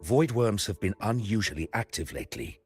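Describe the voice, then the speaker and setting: A man acts out a male professor from an anime-style game with a deep voice and lively intonation. He sounds grave, measured and authoritative, carrying a heavy responsibility. Music plays clearly behind him. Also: close to an everyday voice. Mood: urgent, grave. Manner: serious, measured.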